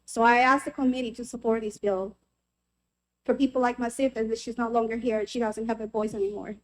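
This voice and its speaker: A woman's voice: on the high side, steady tone, brisk, low-quality recording. Earnest woman from El Salvador testifying. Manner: earnest, heartfelt, personal. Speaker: member of the public testifying. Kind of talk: personal testimony at a legislative hearing.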